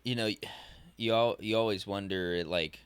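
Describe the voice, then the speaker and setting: A man in his twenties co-hosting a podcast, talking in a casual, halting way, often restarting and searching for words. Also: on the low side, steady tone, clean tone, no room sound. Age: 20s. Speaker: podcast co-host.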